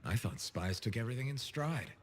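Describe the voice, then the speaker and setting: An actor playing a wry, steady freelance pilot hero in a video game, talking in an understated, dry way. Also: male voice, medium pitch, fairly quick, slight background noise, close to an everyday voice. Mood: earnest, wry. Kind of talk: conversational video game voice acting.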